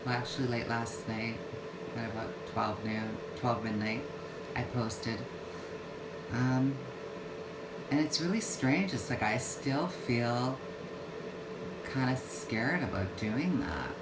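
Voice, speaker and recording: Woman, 50s, slow unhurried livestream chat, hesitant with restarts; echoey room, loud AC hum. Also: extremely low pitch. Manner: halting, many fillers and restarts. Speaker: vlogger. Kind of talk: casual livestream talk. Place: home yoga room. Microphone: phone or webcam livestream.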